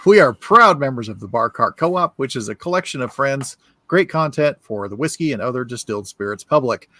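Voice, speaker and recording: A man of middle pitch co-hosts a whiskey podcast and runs through announcements in a friendly, rambling way with plenty of uhs. His sound is dry and close, with no room echo. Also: lively intonation, brisk, sharp tone. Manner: friendly, rambling, with filler words. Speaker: co-host.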